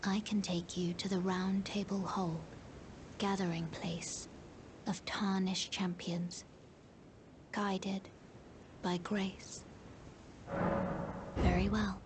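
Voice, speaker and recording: An actress voicing a gentle maiden guide in a fantasy game, soft and breathy, speaking in a hushed, formal way. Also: female voice, mid-range voice, flat delivery, slow pace, long pauses, slight background noise, natural voice. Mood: calm, hushed. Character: soft-spoken gentle maiden guide offering help to wanderers. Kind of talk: scripted fantasy game dialogue.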